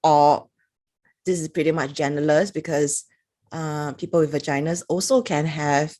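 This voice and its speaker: A woman's voice: deep voice, fairly even intonation, normal speed, mellow. A woman with a Singaporean accent giving a chatty, casual review to camera, reading passages aloud with little laughs. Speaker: product reviewer.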